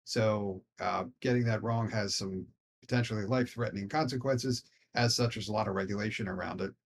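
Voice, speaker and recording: Low-voiced American man, hedging and conversational. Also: brisk. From Philadelphia, United States. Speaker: podcast co-host. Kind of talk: casual discussion podcast.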